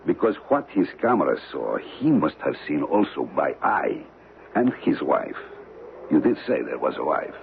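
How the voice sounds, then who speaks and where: Cold male radio-drama villain: very low, swooping delivery, formal threats, muffled old broadcast. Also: normal speed, gravelly, slight background noise, exaggerated voice. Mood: cold, demanding. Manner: calm, formal, precise, threatening. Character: cold menacing male criminal without conscience.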